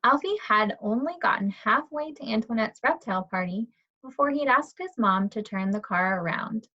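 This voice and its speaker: A woman's voice: fairly high pitch, animated, moderate pace, sharp tone. Woman reading a picture book, muffled audio. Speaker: teacher reading aloud to children. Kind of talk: children's picture book read-aloud. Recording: dull lo-fi audio.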